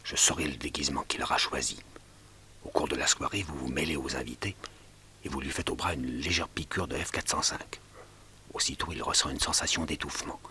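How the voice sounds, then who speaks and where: An actor in a 1960s French radio drama playing a secretive kidnapper who gives cold, hushed orders. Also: male voice, very deep voice, fairly even intonation, a little fast, a little hiss or hum, exaggerated voice. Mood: cold, conspiratorial. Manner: hushed, conspiratorial whispering.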